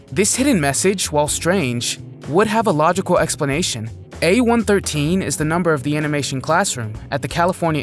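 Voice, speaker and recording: A man reading scripted explainer narration for an online video. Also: fairly high pitch.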